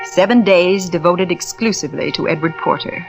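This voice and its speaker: An actress playing a persuasive spiritualist medium who narrates, intimate and theatrical, sometimes dropping to a whisper, in 1940s radio acting. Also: female voice, fairly low pitch, moderate pace, over loud music, lightly acted, low-quality recording. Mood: brooding.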